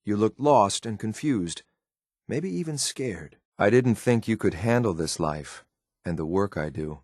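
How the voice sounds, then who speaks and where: A man acting as a stoic, loyal but ruthless synthetic soldier in a video game, with a clipped, military, deadpan delivery. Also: on the low side, no echo, studio quality. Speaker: companion character in a video game. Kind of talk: scripted game voice lines.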